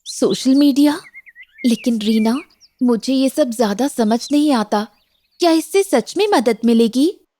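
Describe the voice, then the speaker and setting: A woman's voice: high voice, animated, measured pace, warm tone. A woman playing a modest, hopeful village wife in a moral-story cartoon, speaking simply and earnestly. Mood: unsure.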